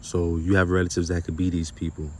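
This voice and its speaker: A man's voice: low-pitched, completely flat delivery, brisk, slight background noise. A man giving an informal, slangy spiritual monologue in an emphatic, repetitive manner, often posing rhetorical questions. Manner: casual and slangy with some swearing. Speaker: spiritual content creator and speaker.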